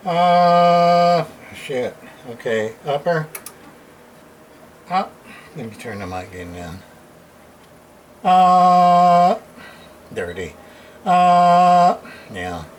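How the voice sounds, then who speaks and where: A man giving a casual hands-on repair demonstration, muttering to himself in halting short phrases with plain, folksy, mildly salty wording. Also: on the high side. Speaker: radio repairer, channel host. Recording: clearly noisy room recording, background ambience.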